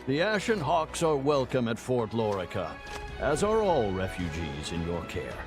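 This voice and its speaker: An actor playing a gracious, plain-spoken fort general in a video game, formal and declamatory in delivery. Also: male voice, medium pitch, sing-song, normal speed, natural voice. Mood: proud, welcoming. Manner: formal, old-fashioned, declamatory. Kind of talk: dramatic video game cutscene acting. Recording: loud music under the voice.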